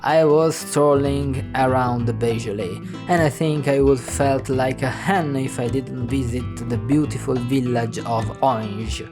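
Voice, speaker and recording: A man's voice: mid-range voice, steady tone, measured pace, hardly any pauses. A man with an Italian accent, a native Italian speaker from northern Italy, narrating his travel vlog in a wry, chatty way. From Piedmont, northern Italy. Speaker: travel vlogger. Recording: music underneath.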